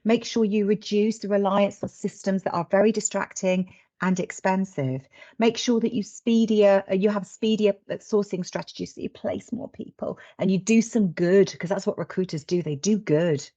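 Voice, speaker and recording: Female webinar host, very mellow tone, chatty and plain-spoken, somewhat lo-fi recording. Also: mid-range voice, steady tone, normal speed. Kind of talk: informal presentation. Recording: slightly dull lo-fi webinar audio.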